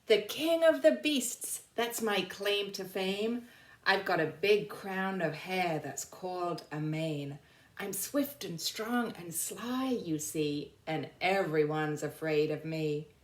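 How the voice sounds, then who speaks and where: A woman voicing a proud lion king in measured, sing-song verse from a children's picture book. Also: lowish voice, animated. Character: proud lion king pleading for his lost home. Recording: roomy, echoing sound.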